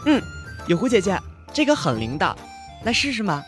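Lively, eager young boy played by a woman. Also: mid-range voice, moderate pace, faint music behind, close to an everyday voice. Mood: excited, coaxing. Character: eager, innocent, polite young boy in a fantasy tale.

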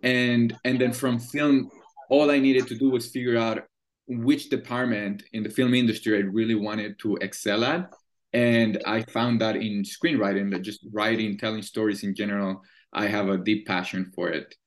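This man in his thirties speaks English with a Venezuelan Spanish accent, his voice sitting a little low. He explains things at length and thoughtfully, often pausing with "uh" and restarting his sentences, in a relaxed interview manner. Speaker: guest, screenwriter and producer. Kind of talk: casual interview chat.

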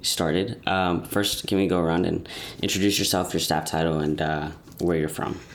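A young co-host from Texas on a podcast, relaxed and hesitant with ums. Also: low voice, normal speed. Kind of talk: casual podcast chat.

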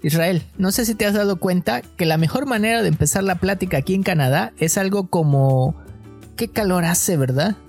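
A man with a Mexican coastal accent co-hosting a podcast, chatting casually and telling stories in a relaxed, slangy way. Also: high voice, moderate pace, faint music behind. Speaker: podcast co-host.

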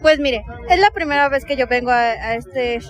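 A woman answers questions casually and off the cuff. The recording is clearly noisy, made outdoors. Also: high voice, moderate pace. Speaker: concert fan being interviewed. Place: outdoors in a concert queue.

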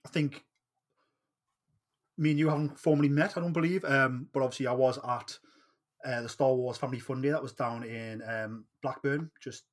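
A man's voice: medium pitch, steady tone, normal speed. Geordie man hosting a podcast. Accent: Geordie, north-east England. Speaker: podcast host. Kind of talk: casual interview chat.

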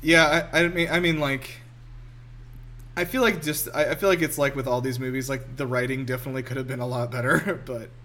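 A man's voice: medium pitch, steady tone, fairly quick, pushed and bright, a little hiss or hum. A man talking casually and informally as a podcast co-host, giving film commentary. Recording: music behind the voice.

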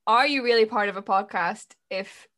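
A woman's voice: mid-range voice, moderate pace, sharp tone. A woman in her twenties co-hosting a podcast, chatting in an informal, reflective and agreeable way. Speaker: podcast co-host. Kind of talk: casual chat.

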